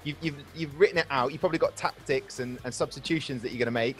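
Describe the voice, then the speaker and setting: A man's voice: mid-range voice, fast talker, a bit bright, faint music behind. Upbeat male host on a video call. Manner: upbeat, chatty presenter. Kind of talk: casual video-call interview.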